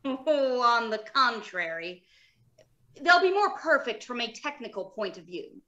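Radio drama scientist, female actor: unfeeling, precise, matter-of-fact, with a high, forceful voice. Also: sing-song, unhurried, lo-fi sound, heavily acted. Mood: clinical. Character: clinical, unfeeling scientist who makes robots. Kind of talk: old-time radio drama acting.

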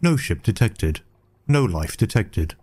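An impassive male ship computer acted by a man for a sci-fi audio drama. The voice is dark and rough-edged. It delivers clipped, emotionless status reports. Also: fairly low pitch, big pitch swings, slow, exaggerated voice.

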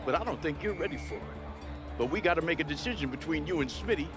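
A man playing a gruff, impatient middle-aged football coach in a video game drama. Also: on the high side, animated, quick pace, music in the background, natural voice. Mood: stern. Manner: gruff, scolding, commanding. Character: stern, impatient, hard-nosed male football coach. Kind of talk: scripted game drama acting.

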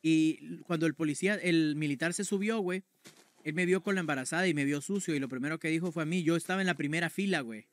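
A man's voice: a little high, fast talker. A young man streaming from a room at home, casually telling a personal story to his chat in a slangy, conversational way. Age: young adult. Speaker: game streamer.

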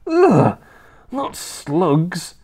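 A woman playing a high-voiced, protesting little boy. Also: big pitch swings, slow, heavily acted. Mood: disgusted. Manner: plaintive, objecting, innocent. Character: earnest, protesting eight-year-old boy.

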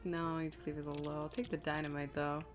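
A woman's voice: low-pitched, level tone, fairly quick, over music. A woman giving casual live commentary as she streams a video game, muttering to herself in an exasperated, self-deprecating way.

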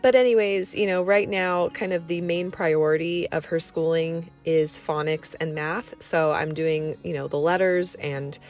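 A woman's voice: lowish voice, fairly even intonation, a little slow, hardly any pauses, darkish tone. A woman giving a casual voice-over as a vlog host, explaining cleaning tasks in a chatty way. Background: background music.

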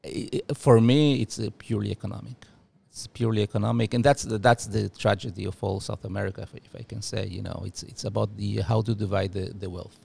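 Man, 40s, from Uruguay, gravelly and low, halting guest speech into a studio mic. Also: fairly even intonation, warm tone. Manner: halting, many restarts and fillers. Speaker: guest, former diplomat. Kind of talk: podcast interview. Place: studio office room. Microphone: studio mic with headphones.

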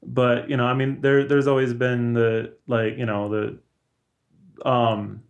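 A man's voice: mid-range voice, level tone, takes it slowly. A man, talking casually as a podcast guest over a video call. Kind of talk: casual conversational discussion. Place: small room at home or office, on a video call. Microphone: video call with headphones.

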